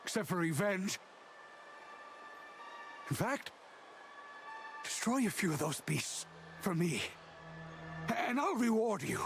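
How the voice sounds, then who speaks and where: Game quest giver, bitter male outcast. High voice, very animated, theatrical and plaintive. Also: slow pace, long pauses, music in the background, exaggerated voice. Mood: vengeful. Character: bitter, mournful man, outcast from home, craving revenge. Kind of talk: video game quest dialogue acting. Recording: clear background ambient noise.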